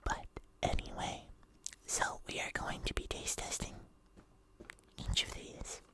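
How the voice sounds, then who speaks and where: An ASMR host chatting casually to viewers in a soft, unhurried whisper with drawn-out phrases. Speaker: host of an ASMR video channel.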